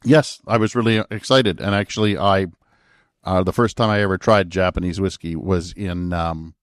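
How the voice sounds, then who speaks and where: A man's voice: sits low, fairly even intonation, normal speed, dry recording, clean studio sound. A man co-hosting a podcast in casual chat, teasing and asking pointed questions. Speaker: podcast co-host.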